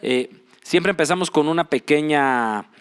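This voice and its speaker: A man with a Mexican accent hosting a casual interview, talking in a relaxed way with frequent fillers and restarts. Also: fairly high pitch, unhurried, clean tone. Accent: Mexican Spanish. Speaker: host.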